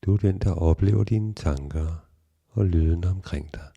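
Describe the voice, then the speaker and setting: A man guiding a relaxation meditation in a calm, measured, instructional way with long pauses. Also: deep voice, vocal fry, slightly rough, muffled recording. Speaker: yoga nidra guide.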